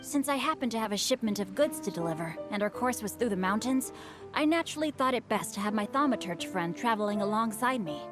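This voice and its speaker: A woman's voice: mid-range voice, expressive, fast talker, music in the background, lightly acted. A woman voicing a courteous, self-assured, bookish young nobleman in a video game, speaking formally and eloquently with a theatrical touch. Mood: storytelling.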